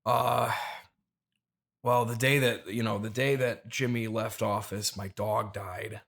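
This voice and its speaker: A grieving, mystical turnip farmer, played by a man in an improvised comedy interview. The voice sits on the low side. He rambles in halting, earnest bursts, like a wounded true believer. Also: fairly even intonation, vocal fry, a bit bright, exaggerated voice. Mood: wistful rambling.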